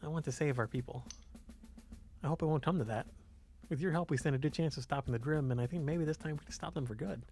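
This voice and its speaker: A man's voice: a little high, fast, dark tone, slight background noise, natural voice. A man voicing an earnest, idealistic young queen in a fantasy game, sincere and a little hesitant. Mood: earnest, resolute.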